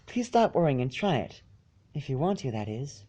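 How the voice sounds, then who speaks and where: A man voicing a timid, gentle female pony in a storybook reading, with a small soft voice and a meek, hesitant, polite manner. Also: medium pitch, very animated, moderate pace. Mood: sweet, reassuring.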